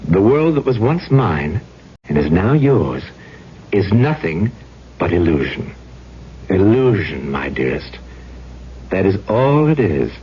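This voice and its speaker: An actor playing the intense, pleading ghost of a man in a theatrical, halting 1970s radio drama style. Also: sits low, sing-song, full character voice. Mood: solemn persuasion. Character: intense pleading ghost of a man who took his life. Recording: dull, noisy old radio recording.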